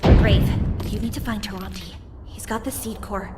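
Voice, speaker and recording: A woman's voice: mid-range voice, fairly even intonation, normal speed, natural voice. Tough, intense actress as a woman fighter, echoey. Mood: grave, commanding. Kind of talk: video game cutscene acting. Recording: echoey, very noisy background.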